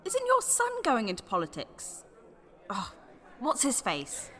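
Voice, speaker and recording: An actress playing a snide, sweary village gossip in a radio play. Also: female voice, on the high side, huge pitch swings, a little slow, fairly bright tone, full character voice. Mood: insinuating.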